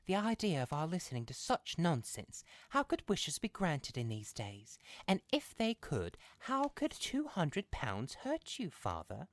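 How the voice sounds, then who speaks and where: High-pitched scoffing old wife, played by a man. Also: wildly swooping pitch, a little slow, few pauses, full character voice. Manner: chiding, dismissive. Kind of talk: audiobook character voice.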